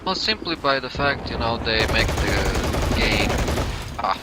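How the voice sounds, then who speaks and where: Eastern European man under loud gunfire noise. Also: mid-range voice, expressive, a little slow. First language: not English. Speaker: co-commentator. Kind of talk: casual chat, game commentary. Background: war game gunfire, vehicles.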